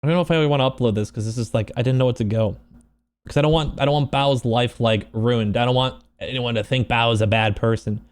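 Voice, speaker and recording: A man speaking off the cuff in a personal vlog, upset and close to crying, halting and repeating himself earnestly. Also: medium pitch, steady tone. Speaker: streamer and video creator. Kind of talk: unscripted personal vlog monologue.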